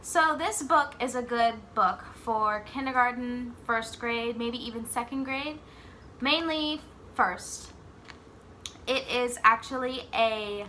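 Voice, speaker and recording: A woman reading a picture book aloud like a teacher, expressively, pausing to put simple questions to young children. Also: a little high, sharp tone, slight background noise. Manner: pauses to ask simple questions and echo the answers.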